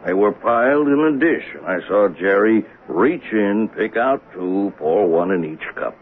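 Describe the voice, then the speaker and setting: Folksy train conductor on tinny old radio. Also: male voice, lowish voice, animated, unhurried. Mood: earnest, insistent. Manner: musing, plain-spoken. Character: folksy, observant commuter-train conductor telling a murder tale. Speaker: actor narrating and playing a train conductor. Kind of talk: 1970s radio drama acting, narration and dialogue. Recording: noisy phone-like old radio broadcast.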